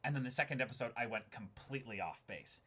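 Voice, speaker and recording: A man's voice: medium pitch, lively intonation, fast, bright, forceful tone, slightly rough. A man who hosts an online reaction channel, talking casually and unscripted in a reflective, explanatory way.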